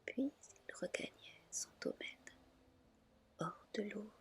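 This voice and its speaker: A woman reading a story aloud as ASMR in a breathy whisper, soft, gentle and unhurried. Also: mid-range voice, animated.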